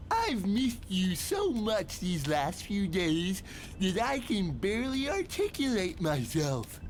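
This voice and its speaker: Male actor as huge crude comic villain, swooping pitch, slow theatrical delivery, noisy rumbling track. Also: heavily acted. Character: crude huge male villain catfishing as a gushing lovelorn woman. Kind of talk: motion comic voice acting.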